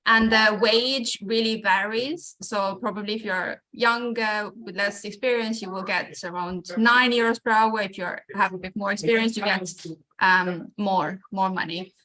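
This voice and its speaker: A woman's voice: mid-range voice, steady tone, moderate pace, sharp tone, rough recording. A woman presenting an informational webinar over a video call, explaining things with frequent restarts and fillers.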